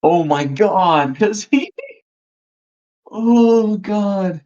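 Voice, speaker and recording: A man's voice: high-pitched, lively intonation, very slow, darkish tone. A man chatting casually as a podcast panelist, exclaiming and swearing, on a lo-fi microphone. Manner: profane, exclamatory. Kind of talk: casual chat. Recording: dull lo-fi microphone sound.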